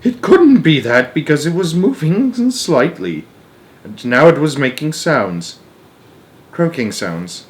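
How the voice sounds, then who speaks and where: A man narrates a children's book aloud in an expressive amateur style, sometimes tripping over words and correcting himself. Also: fairly high pitch, takes it slowly.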